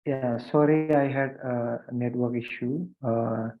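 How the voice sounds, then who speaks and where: A man from India speaks English with an Indian accent, pausing often with fillers and restarting his sentences. His voice sits at a middle pitch. The audio is thin and phone-like, as through a video call connection. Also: fairly even intonation. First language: Hindi or a regional language of India. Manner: hesitant, many fillers and restarts. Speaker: guest speaker. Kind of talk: video conference remarks.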